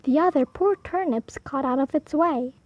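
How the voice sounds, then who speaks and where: A woman storyteller reading a picture book aloud for preschoolers, animated, drawing out key words for emphasis. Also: sits high, normal speed, dry recording, lo-fi sound. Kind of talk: expressive read-aloud for preschoolers.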